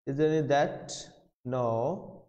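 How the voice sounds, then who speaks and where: A man's voice: medium pitch, takes it slowly, warm tone, highs cut off. A man with a Bangladeshi accent giving a live English grammar lesson as a lecturer, addressing students and often repeating himself. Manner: repeats phrases and questions, calls out names. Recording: very echoey room, long reverb.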